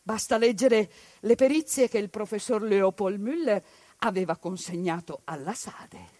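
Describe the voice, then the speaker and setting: A woman actress delivering an impassioned, indignant theatrical monologue, swinging between loud outbursts and hushed passages. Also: medium pitch, unhurried, no room sound. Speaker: stage actress narrating a monologue. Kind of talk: theatrical civic monologue broadcast on radio.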